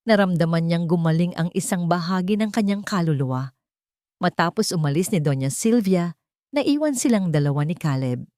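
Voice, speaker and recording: A woman's voice: fairly low pitch, expressive, moderate pace, darkish tone, dry recording, clean studio sound. A woman reading a scripted romance story as narrator, in a solemn, emotive dramatic style.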